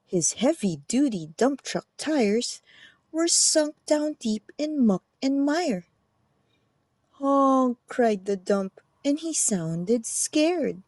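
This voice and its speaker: Animated, high-voiced woman reading a storybook. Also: slow pace, dry recording. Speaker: children's book narrator. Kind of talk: animated storybook read-aloud.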